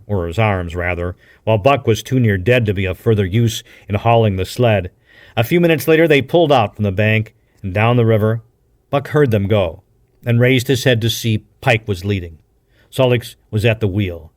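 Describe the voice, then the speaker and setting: A man reading an adventure novel aloud with a deliberate, dramatic storytelling delivery, like a practiced broadcaster. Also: medium pitch. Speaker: audiobook reader, radio broadcaster.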